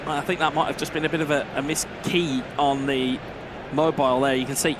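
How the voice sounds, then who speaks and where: A man's voice: medium pitch, flat delivery, a little fast, noisy background. A man who once competed, giving analytical co-commentary on an esports match. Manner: thoughtful, analytical, a few restarts. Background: background music.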